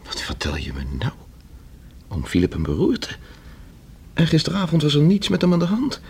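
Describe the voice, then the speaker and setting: An actor playing a solicitous, serious fiancé in a radio play, courteous and questioning. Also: male voice, a little high, huge pitch swings, normal speed, a little mellow, breathy edge, full character voice. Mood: concerned. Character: Robert, solicitous engineer fiancé, a serious man.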